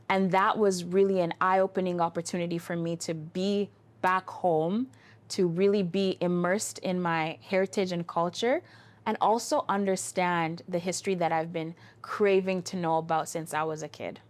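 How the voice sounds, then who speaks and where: A woman from Canada, a guest in an interview, telling her story in an articulate, flowing way. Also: lowish voice. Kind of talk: interview conversation.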